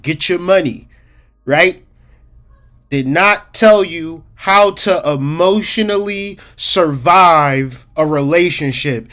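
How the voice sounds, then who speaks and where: A man hosting a casual livestream about psychology, streetwise and slangy, talking straight to his chat and slowing down to stress key points. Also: sits high, fairly bright tone. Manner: emphatic, slows down to stress points. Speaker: livestream host.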